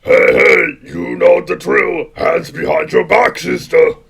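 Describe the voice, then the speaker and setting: A man playing a gruff, world-weary policeman in a comic radio play, with a gravelly, husky voice and a blunt, dry manner. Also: fairly high pitch, wildly swooping pitch, slow pace, a bit bright, exaggerated voice.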